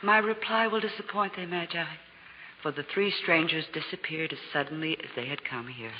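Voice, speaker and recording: A woman's voice: low voice, moderate pace, close to an everyday voice. Actress as village mother, muffled reverberant radio. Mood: grave. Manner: formal, storytelling biblical speech. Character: gentle village mother of Bethlehem with young child. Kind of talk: 1940s radio drama acting. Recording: muffled, noisy, reverberant 1940s radio recording.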